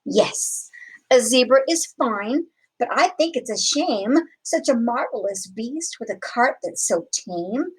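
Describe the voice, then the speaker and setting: Slow, higher-voiced woman reading a storybook. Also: expressive, slightly rough, poor audio. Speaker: storybook reader. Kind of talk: expressive children's picture book read-aloud.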